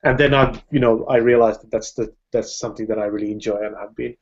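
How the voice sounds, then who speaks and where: A man's voice: mid-range voice, fairly even intonation. Man chatting over a dull video call. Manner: halting, many restarts, laughs easily. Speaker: film director hosting a live video hangout. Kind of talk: casual video-call chat.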